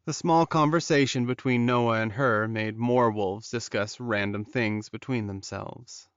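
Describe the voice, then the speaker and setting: A man's voice: medium pitch, a little slow, clear, resonant tone, dry recording, lo-fi sound. A man reading scripted fiction as an audiobook narrator.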